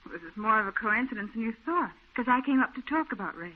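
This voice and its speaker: An actress playing an earnest, worried young fiancee in a 1940s radio drama. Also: female voice, fairly high pitch, lively intonation, quick pace. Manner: earnest, anxious. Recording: thin, tinny 1940s radio recording.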